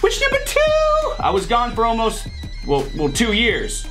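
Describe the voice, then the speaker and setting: Animated, sarcastic young man, high-pitched. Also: measured pace, soft background music. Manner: exasperated, sarcastic, animated rants. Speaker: YouTuber host. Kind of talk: comedy sketch. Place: home room with posters, indoors.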